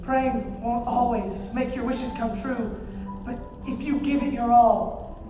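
A man's voice: very high voice, steady tone, slow pace. A young man playing a reflective, devoted father, telling his story earnestly in a stage play. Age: young adult. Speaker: amateur stage actor. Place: theater stage. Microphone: far audience camera. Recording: distant echoey theater hall, music behind.

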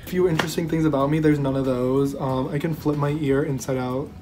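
A man's voice: a little high, fairly even intonation, fairly quick, music in the background. A young man giving a joking, self-mocking introduction as a game contestant on a webcam in his bedroom. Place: bedroom at home.

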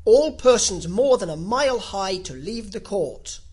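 Woman acting a storybook king, pompous, grave, emphatic, husky, lively swings of pitch. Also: a little slow. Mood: blustering.

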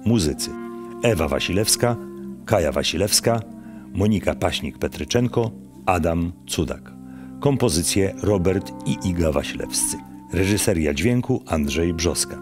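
Low guy announcer reading radio play credits, formal and measured, clear music underneath. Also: lively intonation.

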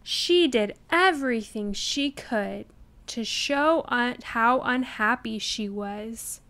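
A woman reading a children's picture book aloud, with deliberate and expressive enunciation. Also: fairly high pitch. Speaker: storybook reader. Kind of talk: children's read-aloud narration.

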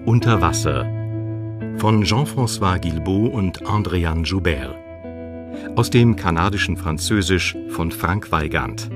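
A man's voice: low-pitched, lively intonation, measured pace. A man reading out radio play credits as a scripted announcement. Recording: music behind the voice.

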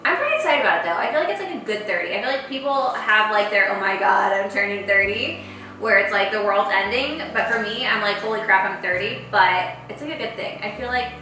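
A woman's voice: mid-range voice, fast, sharp tone, a little hiss or hum. A woman in her late twenties chatting casually as a vlogger while cooking in a home kitchen, bubbly and full of "like"s. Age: late 20s. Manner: chatty, bubbly, heavy use of "like". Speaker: lifestyle vlogger. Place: large home kitchen, indoors. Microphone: far camera mic. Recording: echoey kitchen from far off, background music.

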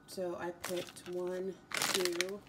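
A woman's voice: fairly low pitch, completely flat delivery, drawn-out words, distant mic, very noisy. A woman vlogger chatting casually about her groceries at home, rambling with ums and restarts. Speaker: fitness vlogger. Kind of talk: casual grocery haul chat. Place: home kitchen, indoors.

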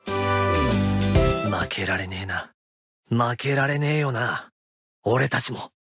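A man voicing a cocky, driven high-school baseball catcher in an anime-style game. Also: takes it slowly, over loud music. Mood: serious, resolute.

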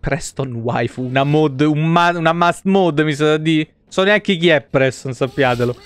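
A man's voice: fairly high pitch, a little slow, clean tone, no echo. A man, around his thirties, giving casual, joking live commentary on a game and swearing freely. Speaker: game streamer. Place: indoor home streaming setup.

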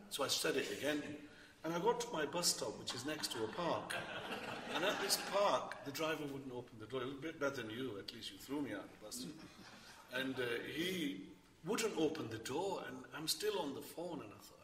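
An older man tells a story on a festival stage, animated and chuckling. He sounds far from the microphone, and his voice rings with a long echo through a large hall. A faint hiss sits underneath. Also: mid-range voice. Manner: animated, gestures a lot, laughs while telling. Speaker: novelist on a festival panel. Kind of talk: anecdotal storytelling. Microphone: far room mic.

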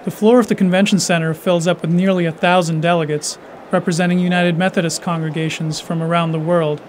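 A man narrates a documentary in a notably high-pitched voice, reading from a script. There is some faint noise behind him. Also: level tone, a little fast.

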